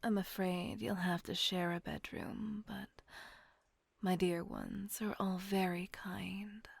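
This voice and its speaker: A woman voicing a kind, doting female vampire mistress in an audio roleplay, speaking in a soft, coaxing whisper close to the mic. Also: mid-range voice, expressive, takes it slowly, vocal fry, slightly rough, dry recording, heavily acted.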